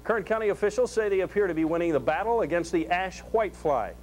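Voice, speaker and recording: A man's voice: high voice, expressive, fast, slight background noise, rough recording. An American man anchoring a scripted local television newscast. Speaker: news anchor.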